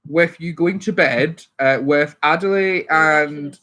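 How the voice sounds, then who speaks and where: Loud, chatty male game master. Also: a little high, slow. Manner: loud, chatty, laughs often. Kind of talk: narrating a tabletop game.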